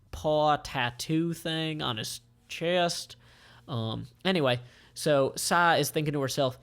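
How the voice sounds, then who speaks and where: A man narrating a comic informally, halting and offhand as he describes what happens. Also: medium pitch, fairly bright tone. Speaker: comic co-creator and reader.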